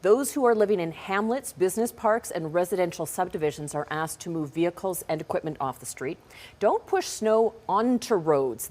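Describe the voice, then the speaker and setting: A Canadian woman reading scripted local news as an anchor. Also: lowish voice.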